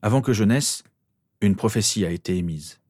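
A teenage boy wizard from a storybook, read by a man, earnest and wounded. His voice is husky and defensive, in a dry recording. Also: lowish voice, moderate pace, darkish tone, no room sound, close to an everyday voice. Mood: matter-of-fact.

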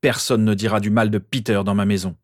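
A man voicing a stubborn, distrustful father in an audiobook, speaking in a curt, forceful way. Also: fairly low pitch, little pitch movement, fairly quick, lightly acted. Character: James, stubborn, incredulous, threatening father.

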